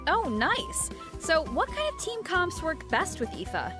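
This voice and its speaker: A woman's voice: very high voice, huge pitch swings, a little slow. A woman co-hosting a game preview program, reacting expressively in scripted conversational banter. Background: faint music.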